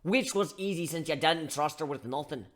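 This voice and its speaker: A man voicing a gruff, scolding barkeep who gives blunt tough-love lectures in folksy slang in a dramatic story reading. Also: a little high, normal speed, fairly bright tone, close to an everyday voice. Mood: blunt, lecturing.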